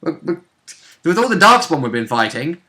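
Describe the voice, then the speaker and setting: Very bright, animated man bantering about games. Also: on the high side, normal speed. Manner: jokey, mildly sweary, exclamatory. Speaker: player and co-host on a role-play vidcast. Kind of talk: casual banter.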